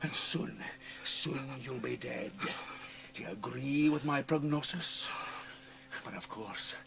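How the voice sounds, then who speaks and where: A man playing a sinister, mocking Scottish villain in a radio drama, with a gravelly voice and theatrical, menacing delivery. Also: mid-range voice, takes it slowly, a bit bright, exaggerated voice. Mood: gloating, cold. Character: sinister, mocking small man, transforming into triumphant arrogant doctor.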